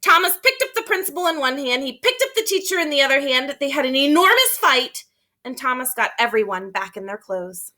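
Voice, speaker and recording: High, bright-voiced woman reading a storybook. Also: a little fast. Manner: expressive, emphatic, explaining to children. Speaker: teacher reading aloud to children. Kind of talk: animated children's storybook read-aloud.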